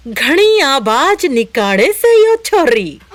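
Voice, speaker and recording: A woman's voice: sits high, very animated, takes it slowly, heavily acted. A woman playing a sadistic, theatrical village storyteller with a Rajasthani accent in an audio horror drama. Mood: menacing, theatrical.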